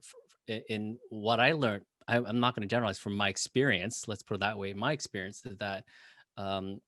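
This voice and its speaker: A man from Canada, speaking as a panelist over a video call, animated and expressive and quick to laugh. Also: on the low side, no echo, clean studio sound. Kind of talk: panel discussion.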